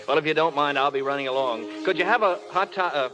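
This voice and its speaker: Bantering troubled husband in old radio drama played by an actor. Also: male voice, fairly high pitch, fast, natural voice. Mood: playful, wistful. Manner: light, bantering, faltering. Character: charming, jittery, self-mocking troubled husband, fallen star. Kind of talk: 1930s-40s radio drama acting. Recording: old radio recording with music behind.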